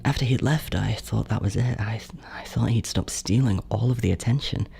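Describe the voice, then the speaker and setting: An actor playing a jealous, guilt-ridden older brother in a horror audio drama monologue, halting and confessional, with a gravelly, creaky voice. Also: male voice, fairly low pitch, warm tone. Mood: bitter, melancholy. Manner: hesitant, confessional, halting, drops toward whispers.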